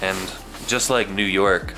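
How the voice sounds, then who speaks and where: A man's voice: sits low, fairly even intonation, slow pace, music in the background, low-quality recording. A young American man chatting casually and reflectively for a vlog in a living room, talking to a camera set nearby. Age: young adult. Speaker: vlogger. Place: small warm living room indoors. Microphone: camera set a short way from him.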